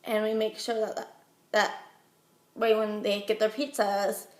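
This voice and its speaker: A young American woman from Utah giving chatty, halting answers as a job applicant in an interview, while getting over a head cold. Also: mid-range voice, little pitch movement, darkish tone. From Utah, United States. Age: young adult. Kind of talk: informal phone interview answers. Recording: roomy echo.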